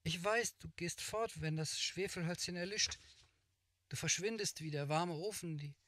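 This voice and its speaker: A man voicing a poor, freezing little girl who pleads in a high voice. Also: moderate pace, fairly bright tone, natural voice. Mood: pleading.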